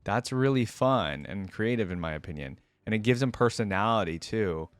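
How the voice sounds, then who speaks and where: A man's voice: lowish voice, animated, moderate pace, no echo. A man hosting a reaction video in a casual, enthusiastic, informal way, commenting and reading aloud.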